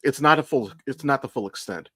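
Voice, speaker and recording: A man talks as a podcast co-host in a medium-pitched voice. He lays out his fan opinions in casual, sweary chat, with very little room sound around him. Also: brisk, a bit bright, slightly rough, no echo. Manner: sweary, explanatory fan talk. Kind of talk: casual chat, opinionated pop-culture commentary.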